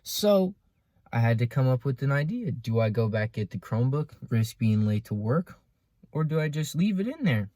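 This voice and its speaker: A man's voice: mid-range voice, sing-song, normal speed, dark tone, no room sound, studio quality. A young American man telling a personal story casually, with dramatic emphasis and sarcastic asides. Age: young adult. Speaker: vlogger. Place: inside a car.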